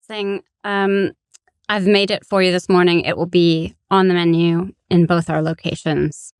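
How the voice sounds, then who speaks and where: A woman appearing as a podcast guest, talking casually and excitedly, laughing often. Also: on the low side, clean tone, dry recording, studio quality. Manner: bubbly, quick to laugh. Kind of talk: casual conversation.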